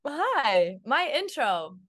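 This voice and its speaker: A woman's voice: high voice, very animated, extremely slow, very noisy. A woman in her twenties from Turkey, chatting casually in an interview, her talk full of "like" and "um". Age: 20s. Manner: chatty, filled with "like" and "um". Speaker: singer being interviewed.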